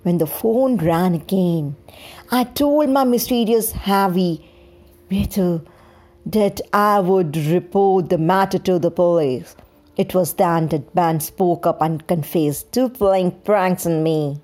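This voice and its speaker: A woman reading a scripted first-person story in a deliberate, dramatized storytelling manner. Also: fairly low pitch, dark tone. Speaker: storyteller, podcast host.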